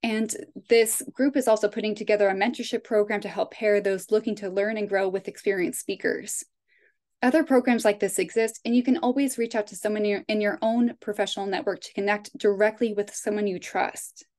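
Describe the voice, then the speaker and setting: A woman guest speaker wrapping up a webinar talk in a friendly, clear way and taking questions over a video call. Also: mid-range voice, steady tone, fairly quick, a little mellow.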